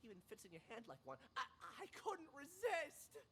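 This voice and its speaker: An actor voicing a sheepish, excitable compulsive thief who stammers, in a radio drama. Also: high voice, normal speed, very noisy.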